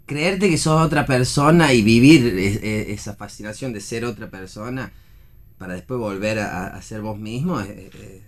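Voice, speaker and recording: An Argentine man, a stage actor, talking earnestly and haltingly in a casual radio discussion about theater, repeating words as he searches. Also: fairly low pitch.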